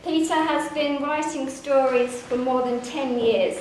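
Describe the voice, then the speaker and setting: A woman's voice: high-pitched, measured pace, a little mellow. A woman host giving a scripted introduction at a live reading event. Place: theatre venue, indoors. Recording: echoey noisy hall, live event.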